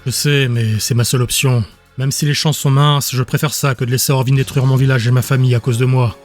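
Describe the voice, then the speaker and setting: A man's voice: medium pitch, flat delivery, brisk, soft background music, close to an everyday voice. A man voicing a weary, guilt-ridden husband resolved to fight, in an audiobook drama. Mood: grim determination. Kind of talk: audiobook character dialogue.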